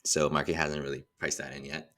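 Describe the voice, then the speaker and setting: A man giving a casual market update as a trader, talking informally and thinking aloud in a halting way as he goes. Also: rumbling low, flat delivery, fairly bright tone. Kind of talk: casual screen-share market commentary.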